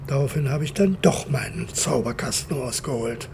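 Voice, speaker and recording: Big forensic technician, soft dark tone, fry in the voice, unhurried, pedantic, radio drama. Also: male voice, medium pitch, lively intonation, slight background noise. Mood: indignant, dry. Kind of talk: naturalistic German radio drama.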